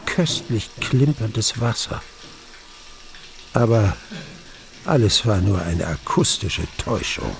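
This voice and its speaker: An actor playing a tormented man recounting his ordeal, as measured, grave and dramatic narration in a radio drama. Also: fairly low pitch, lively intonation, vocal fry, full character voice. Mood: bleak intensity.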